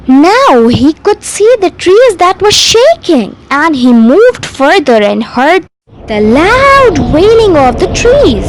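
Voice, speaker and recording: A woman narrates a bedtime story as a storyteller reading from a script. Her voice sits high and moves with animated intonation. She takes her time, placing phrases slowly and deliberately. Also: slight background noise, low-quality recording.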